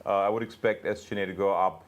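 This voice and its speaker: A man speaking as a company executive, answering investor questions off the cuff in a halting, emphatic way with repeated words. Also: on the low side, steady tone, slight background noise. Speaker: company executive on an earnings call.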